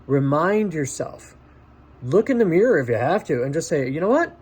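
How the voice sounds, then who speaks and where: A man's voice: fairly high pitch, very animated, normal speed, darkish tone, slightly rough. A man talking casually and directly to the listener like a friend, in an encouraging, conversational motivational vlog style. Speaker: vlog host.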